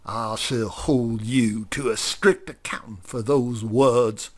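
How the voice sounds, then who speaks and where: A man's voice: medium pitch, expressive, slow, pitched above the performer's own voice. A man voicing a stiff, self-important male woodpecker politician who speaks formally and pompously. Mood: furious.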